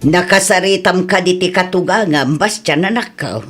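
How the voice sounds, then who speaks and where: A woman's voice: lowish voice, moderate pace, faint music behind, close to an everyday voice. An actress with an Ilocano accent playing a nosy, disapproving older mother in a radio drama. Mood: inquisitive.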